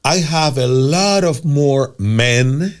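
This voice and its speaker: A man's voice: mid-range voice, animated, takes it slowly. Earnest Cuban-accented man hosting. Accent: Cuban Spanish. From Cuba. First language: Spanish. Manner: earnest, emphatic, with frequent restarts. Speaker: podcast host. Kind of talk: casual conversational interview.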